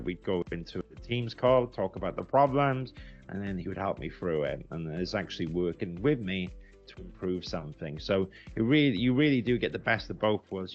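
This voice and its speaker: A man's voice: sits low, lo-fi sound. A British man giving a casual, unscripted review as a business founder, explaining things conversationally and repeating words for emphasis. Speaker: recruitment agency founder giving a software review.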